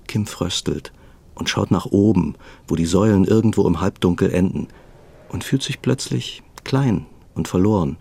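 Guy narrating an audio drama, really low n husky, reading from a script. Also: dark tone. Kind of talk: scripted radio play narration.

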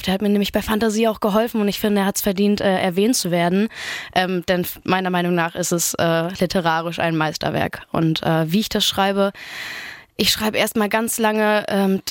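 A woman's voice: mid-range voice, no echo, clean studio sound. A woman in her twenties, a singer, chatting casually in an interview with lots of fillers. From Germany. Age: 20s. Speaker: singer and guest.

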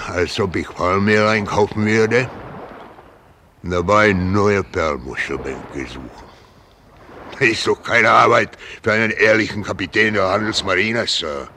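A gruff old-school merchant sea captain grumbles and blusters in a very low, forceful voice. He is irritable and given to cursing, in radio drama style, with some boat and water noise around him. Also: full character voice.

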